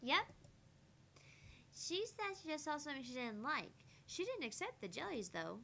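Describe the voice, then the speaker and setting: A woman's voice: sits high, very animated, normal speed, creaky, sharp tone, slight background noise, exaggerated voice. A woman voicing a cheerful, chatty six-year-old girl, reading aloud from a visual novel.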